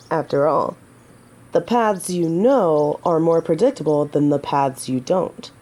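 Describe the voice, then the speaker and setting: A woman's voice: low-pitched, mellow, exaggerated voice. A woman playing a noble, humble lady knight in an audio drama, courteous and protective, with formal, old-fashioned courtly phrasing. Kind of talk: scripted roleplay audio drama to the listener.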